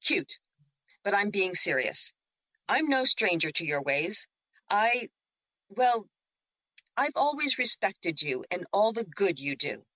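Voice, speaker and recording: A woman's voice: medium pitch, very animated, takes it slowly, long pauses, pushed and bright, no echo, heavily acted, low-quality recording. A woman playing the Moon as a teasing, wise and reassuring spirit in an audio drama, with a playful, counselling way of talking.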